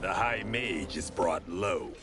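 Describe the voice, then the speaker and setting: A resolute, noble warrior from a video game. His voice is on the low side and lively, earnest and commanding. Loud music runs underneath. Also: slow pace. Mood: stern, accusing. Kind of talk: video game cutscene acting.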